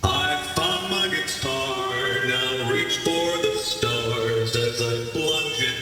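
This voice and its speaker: A man's voice: medium pitch, sing-song, extremely slow, distant mic. A man voicing a theatrical, murderous animatronic entertainer who half-sings rhymes in a video game. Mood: gleeful menace. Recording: echoing long reverb, loud music.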